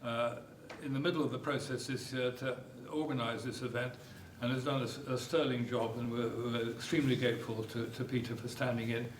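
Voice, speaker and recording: A man's voice: mid-range voice, flat delivery, a little fast, hardly any pauses. An older man giving an informal welcome speech as chairman, rambling a little and hesitantly repeating words. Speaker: club chairman. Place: echoey hotel meeting room. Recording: echoey room, distant mic, faint noise.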